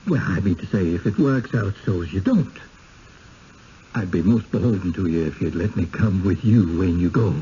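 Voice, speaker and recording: An actor playing a timid, humble small-town villager in a 1970s radio drama, halting and folksy, as if confiding. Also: male voice, low-pitched, expressive, mellow. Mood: furtive, pleading. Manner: halting, rustic folksy speech. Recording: muffled, hissy 1970s radio broadcast.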